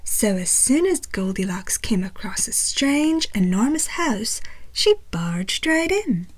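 Female storyteller for picture books: unhurried, very animated, wry, deliberately emphatic. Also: on the high side, a little hiss or hum. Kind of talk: picture-book read-aloud narration.

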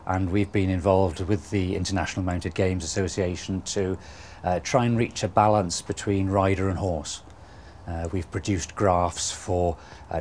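A man who is a chief referee and vet, speaking in a measured, hesitant way with many fillers in an interview. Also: low voice, darkish tone, a little hiss or hum.

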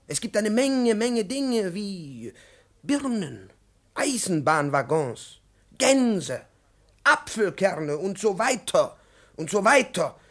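An overpolite, pedantic male professor in a vintage radio play. His delivery is highly animated and theatrical, leaping up and down in pitch as his fussy patience strains. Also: breathy edge, full character voice. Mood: lecturing. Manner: pedantic, effusively polite, theatrically emphatic. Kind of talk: 1950s German-language radio play acting.

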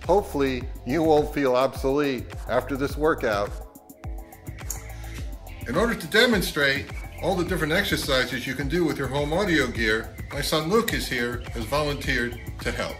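Middle-aged male host, mid-pitched, deadpan instructional read, light music underneath. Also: normal speed. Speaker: host of a hobby audio channel. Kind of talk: scripted narration to camera, tongue-in-cheek instructional.

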